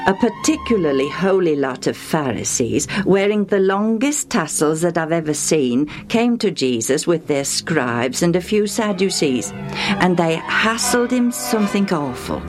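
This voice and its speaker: A woman's voice: lowish voice, big pitch swings, measured pace, faint music behind. A woman voicing an earnest man who is retelling something he saw. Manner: storytelling, earnest.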